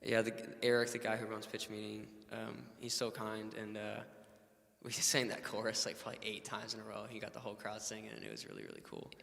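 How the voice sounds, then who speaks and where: A man's voice: fairly low pitch, expressive, fairly quick, vocal fry, fairly bright tone, big-room sound. A man in his late twenties, a singer-songwriter guest, chatting in a laid-back way, full of fillers and "man" and "dude". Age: late 20s. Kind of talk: casual chat.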